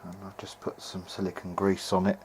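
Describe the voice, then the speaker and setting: Low-voiced man, noisy home recording. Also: monotone, fairly quick, a little mellow. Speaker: vintage hi-fi hobbyist narrating own repair video. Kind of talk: informal hands-on repair video diary.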